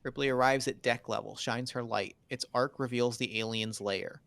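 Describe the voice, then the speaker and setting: A man's voice: medium pitch, fairly even intonation, normal speed, vocal fry, a bit bright. A man narrating screenplay stage directions in dramatic, clipped phrases for a table read.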